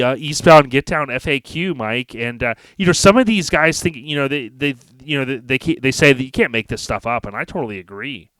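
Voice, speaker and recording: A male podcast host with a mid-pitched voice, recorded close and dry. He chats casually and slips into sponsor reads, talking in a folksy, slangy manner. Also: a bit bright, smooth, no echo, low-quality recording.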